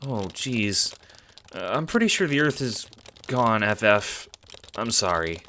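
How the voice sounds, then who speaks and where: A man's voice: fairly low pitch, lively intonation, slow pace, sharp tone, slight background noise, heavily acted. A man playing an awkward, good-natured teenage boy in an audio drama, hesitant and casual with some stammering. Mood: flustered.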